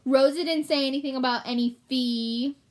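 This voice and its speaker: A grumpy young brownie girl as a woman reader acts her out in a storybook, with a fairly high voice. She is blunt, suspicious and always ready for a fight. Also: fairly even intonation, normal speed, natural voice. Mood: indignant. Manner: blunt, combative, indignant.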